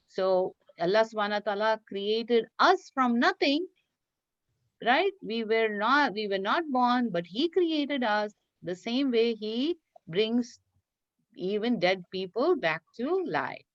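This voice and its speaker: A woman teacher reading a story aloud to young children online, explaining as she goes, repeating phrases and asking questions in an animated way. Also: medium pitch. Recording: roomy, dull lo-fi online class audio.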